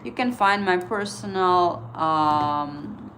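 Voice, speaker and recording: A woman in her thirties, a native Estonian speaker with an Estonian accent, hosting a casual trading livestream and chatting with viewers in halting English full of fillers. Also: on the low side, a bit bright, a little hiss or hum. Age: 30s.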